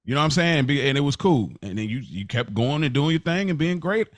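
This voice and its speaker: A man co-hosting a podcast, talking in a relaxed, rambling way with many restarts. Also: fairly high pitch, fast, no room sound, studio quality. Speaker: podcast co-host. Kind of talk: casual chat.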